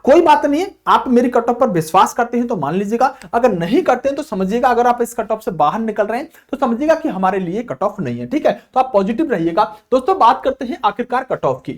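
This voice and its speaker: A man's voice: very high voice, sing-song, quick pace, few pauses. A man teaching an informal video lecture as an exam coach, asking and answering his own questions and repeatedly addressing his listeners as friends.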